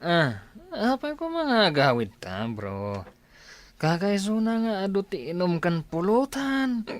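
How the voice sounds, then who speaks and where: A man's voice: high-pitched, sing-song, slow pace, heavily acted. A man with a Filipino accent playing a jokey, drink-loving young bachelor in a village comedy radio drama, playful and exclamatory. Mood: playful, cajoling.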